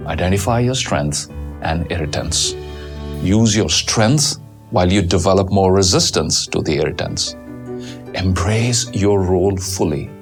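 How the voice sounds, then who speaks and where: A man reciting a poem and giving a motivational talk in a slow, deliberate, earnest way. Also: lowish voice. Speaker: motivational speaker. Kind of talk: poetry reading and motivational talk.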